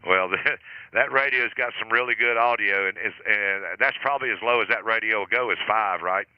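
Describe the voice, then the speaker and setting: A man's voice: on the low side, a little fast, clear, resonant tone, no echo, muffled recording. Friendly man running a radio net. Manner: relaxed, friendly, chuckles often. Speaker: net control operator. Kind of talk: amateur radio net chat.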